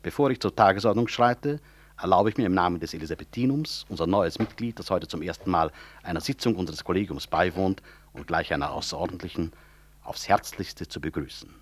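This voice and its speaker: An actor playing an urbane hospital director in a German radio play, chairing formally with courteous, measured, dry irony. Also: male voice, low voice. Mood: composed irony. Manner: courteous, measured, dryly ironic.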